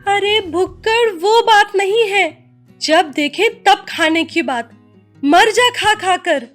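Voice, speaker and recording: Casting: female actor as clever cartoon tigress queen, very high voice, commanding and quick to scold, music underneath. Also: fairly bright tone, exaggerated voice. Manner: sarcastic, commanding. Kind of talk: animated animal fable dialogue.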